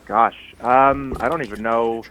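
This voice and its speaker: A man's voice: mid-range voice, fairly even intonation, measured pace, a bit bright, a little hiss or hum. A man answering an unscripted phone call. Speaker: person receiving a phone call. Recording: muffled, lo-fi phone line.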